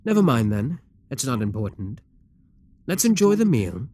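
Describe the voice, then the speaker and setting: A man's voice: medium pitch, sing-song, normal speed, a little mellow, no echo. A man playing a proud, seductive demon king in an ASMR roleplay, speaking in an imperious, courtly and theatrical manner. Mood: softly coaxing.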